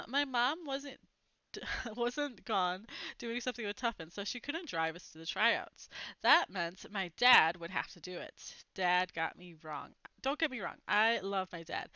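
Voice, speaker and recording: Female storybook reader, very lively pitch, bright tone, dramatic emphasis, dry close sound. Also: dry recording, lo-fi sound. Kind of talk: expressive read-aloud of a children's novel.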